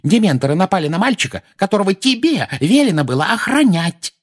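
A man's voice: on the high side, animated, brisk, vocal fry, breathy edge, voice pushed up, exaggerated voice. A man voicing a frantic, scolding old woman in an audiobook, fretful and fierce in her exclamations. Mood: furious. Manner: lamenting, exclamatory, nagging.

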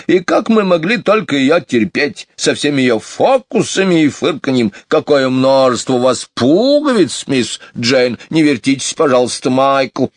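A man's voice: mid-range voice, sing-song, measured pace, hardly any pauses, no echo, clean studio sound, pitched above the performer's own voice. A man voicing a gossipy, fussy, scatterbrained housekeeper woman in a storybook, gushing in a sing-song way. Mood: grumbling, fussy. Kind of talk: audiobook character voice.

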